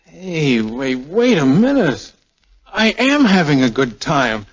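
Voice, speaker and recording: A man's voice: fairly high pitch, sing-song, takes it slowly, full character voice. An American man playing a tender, wisecracking young army air officer in a 1940s radio drama. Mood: earnest, tender. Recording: muffled, lo-fi 1940s radio recording.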